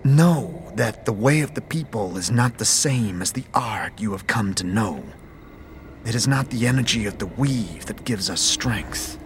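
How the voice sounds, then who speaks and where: A grave, formal alien warrior. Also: male voice, on the low side, lively intonation, unhurried, slight background noise. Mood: solemn. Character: solemn, stern male warrior of another race.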